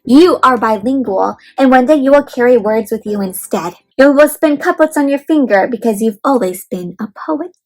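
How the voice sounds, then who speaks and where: High-voiced woman reading aloud to children. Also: moderate pace, few pauses. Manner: expressive, addresses child listeners with questions and simple explanations. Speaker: children's read-aloud host and teacher. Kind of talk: interactive picture book read-aloud for young children.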